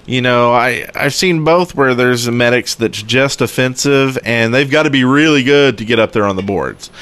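A man's voice: mid-range voice, normal speed, fairly bright tone, smooth, dry recording. A man co-hosting a casual gaming podcast, reasoning aloud in a tentative way. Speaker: podcast co-host.

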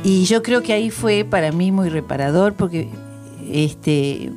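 A woman's voice: fairly low pitch, lively intonation, measured pace, music in the background. A woman, a guest telling a personal story in an interview. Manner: reflective storytelling. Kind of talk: interview conversation.

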